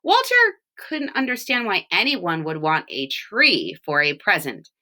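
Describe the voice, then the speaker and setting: A woman reading a children's storybook aloud, with expressive, deliberate phrasing and short explanations. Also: mid-range voice. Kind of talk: read-aloud storytelling with brief picture explanations.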